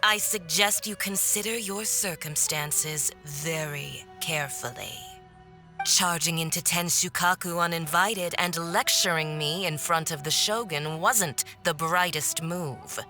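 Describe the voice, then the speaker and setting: A woman's voice: low-pitched, unhurried, music in the background. A woman playing a haughty, mocking villainous diplomat in a video game, with a condescending, theatrical drawl. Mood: coldly threatening. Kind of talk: video game cutscene voice acting.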